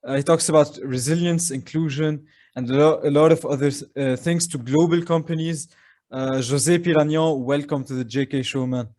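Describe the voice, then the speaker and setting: Fairly high-voiced male podcast host. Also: fairly even intonation, moderate pace, a little mellow. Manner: casual, with fillers and self-restarts. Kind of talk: casual podcast interview.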